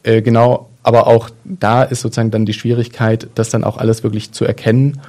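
A man's voice: medium pitch, little pitch movement, brisk, darkish tone. A man in a casual discussion, talking in a thoughtful, hesitant way with many fillers and restarts.

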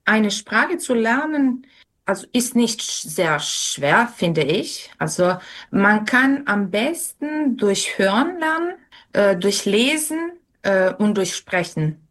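Young woman, slow careful delivery, hesitant interview answers. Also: mid-range voice, very animated, a bit bright. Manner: many fillers and restarts, thoughtful.

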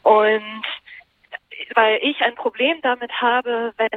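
A woman's voice: a little high, fairly even intonation, slow, no echo. Woman on a tinny phone line. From Japan until age seven, then Germany. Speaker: journalist and columnist interviewed as guest. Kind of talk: conversational interview answers. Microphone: telephone or call connection.

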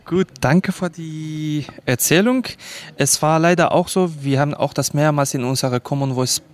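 A man's voice: fairly high pitch, normal speed. A man hosting a radio interview, asking friendly questions with small restarts and fillers. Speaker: host.

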